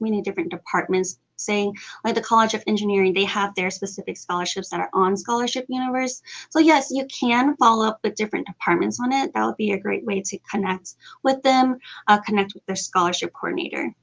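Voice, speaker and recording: A woman's voice: mid-range voice, rough recording. Woman answering scholarship questions, friendly, mid voice. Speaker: financial aid staff.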